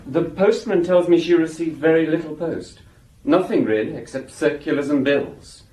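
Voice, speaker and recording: A man's voice: on the high side, normal speed, dark tone, breathy edge, poor audio. An actor playing a young junior policeman in a radio drama, giving factual reports deferentially to his superiors. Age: young adult.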